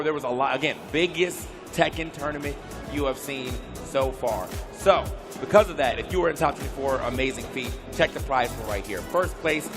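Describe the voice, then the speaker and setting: A man hosting a live fighting-game tournament, chatty and upbeat, with fillers. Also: medium pitch. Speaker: tournament host.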